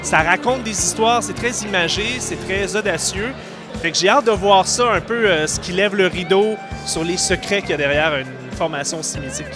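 A man's voice: high voice, normal speed. A man in his thirties with a Quebec French accent, chatting casually in a vox pop interview. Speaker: interviewed music fan. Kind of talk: casual chat. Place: crowded venue lobby, indoors. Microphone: handheld interview microphone. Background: music.

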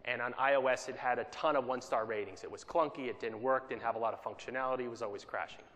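Keynote speaker in a reverberant hall. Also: male voice, mid-range voice, fast talker, a bit bright. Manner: conversational, checks in with "right?" often. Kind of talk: conference keynote talk. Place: conference hall.

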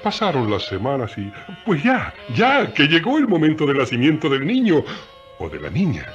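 An actor narrating a radio drama as a genial, husky-voiced storyteller, chatting directly to the listeners. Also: male voice, a little high, wildly swooping pitch, normal speed, a bit bright, highs cut off, faint music behind. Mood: genial, confiding. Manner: conversational, addressing listeners directly.

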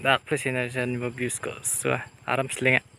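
A man's voice: mid-range voice, monotone, a little fast, vocal fry, sharp tone. Chatty man filming himself outdoors. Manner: loose, chatty talk to camera and companions. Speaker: vlogger. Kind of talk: casual travel vlog chat. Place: outdoors on a roadside and in a small shop. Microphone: phone camera at arm's length. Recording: noisy outdoor phone recording.

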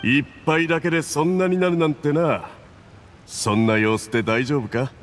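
A man voicing a smug, scheming foreign agent in an anime game, patronizing and self-assured. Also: fairly high pitch. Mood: smug, pressing.

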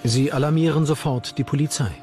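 A man's voice: on the high side, fairly even intonation, brisk, a little mellow. Male true-crime narrator over music. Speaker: documentary narrator. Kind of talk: scripted true-crime documentary narration in German.

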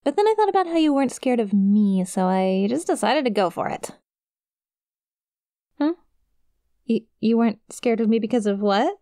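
A woman's voice: a little high, animated, moderate pace, pauses often, warm tone, no echo, clean studio sound, natural voice. A woman playing a friendly, awkward young succubus demon in an intimate ASMR roleplay, with a shy, casual, hesitant manner. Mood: bashful, hopeful. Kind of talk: ASMR roleplay, intimate one-sided conversation to the listener.

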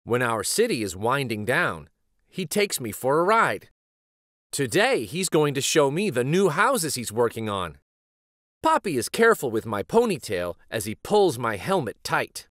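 Animated man reading a picture book. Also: medium pitch, no room sound, clean studio sound. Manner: animated, deliberate storytelling delivery. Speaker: children's read-aloud host and storyteller. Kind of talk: expressive picture book narration.

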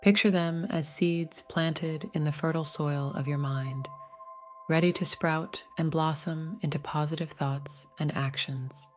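A woman narrating a scripted guided meditation in a gentle, soothing, measured way. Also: low-pitched, fairly even intonation, faint music behind.

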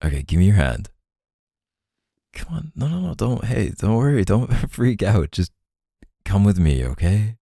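A young man playing an easygoing, tender boyfriend in an intimate roleplay audio, speaking softly and hesitantly in casual slang. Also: lowish voice, big pitch swings, measured pace, gravelly, pauses often, dry recording, studio quality, full character voice. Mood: gentle, anxious.

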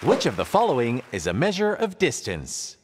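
Swooping, upbeat cartoon quiz host. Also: male voice, medium pitch, huge pitch swings. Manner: theatrical, drawn-out announcer delivery. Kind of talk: scripted game show hosting. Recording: reverberant studio with crowd noise.

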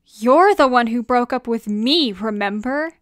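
A woman's voice: fairly high pitch, expressive, a little slow, no room sound, clean studio sound. A woman voicing a hurt, defensive young man in an emotional storybook quarrel. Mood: hurt, indignant. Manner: emotional, emphatic.

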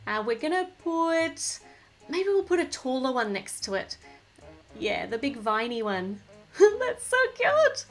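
A woman's voice: high voice, very animated, unhurried, faint music behind. A woman casually narrating a toy build at a table, thinking aloud and gushing over the cute little details.

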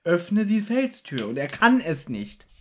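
A man's voice: high voice, unhurried, slight background noise. A man co-hosting a podcast, casually retelling and discussing the plot of a radio play in detail. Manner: retells plot in detail, animated when joking. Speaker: podcast co-host.

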